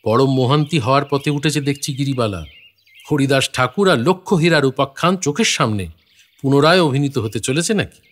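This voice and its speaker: An actor playing a thoughtful, courteous gentleman writer, narrating an audio story in a reflective, literary manner. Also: mid-range voice, a little fast, close to an everyday voice. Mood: reflective, amazed. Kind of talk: scripted story narration with acted dialogue. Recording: faint music behind the voice.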